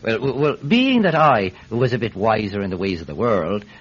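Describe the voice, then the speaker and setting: An actor playing an eager Irish peat seller testifying in court in a classic radio drama, hesitant, stammering and forever digressing. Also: male voice, lowish voice, animated, moderate pace, slightly rough. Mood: flustered, eager. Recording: muffled, hissy vintage radio recording.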